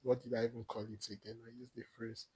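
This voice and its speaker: A man's voice: medium pitch, little pitch movement, fast talker, a little mellow, slightly rough, poor audio. A young Nigerian man with an Igbo accent, a podcast guest telling stories in rambling casual chat and laughing often.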